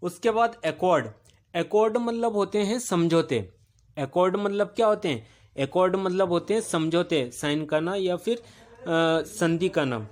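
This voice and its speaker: A male teacher, giving a recorded vocabulary lesson. He repeats each meaning as a question, answers it himself, and pauses on "uh". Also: sits high. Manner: steady explaining pace with filler "अ" pauses.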